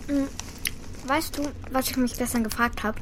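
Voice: sits high, fairly even intonation, moderate pace, noisy background, lightly acted. A performer playing an outspoken, compassionate and combative young girl in a children's radio play, blunt and direct. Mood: musing.

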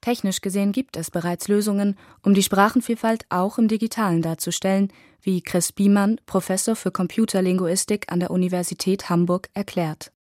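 Female radio reporter, mellow tone, dry studio sound, measured scripted read. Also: medium pitch, steady tone, no room sound.

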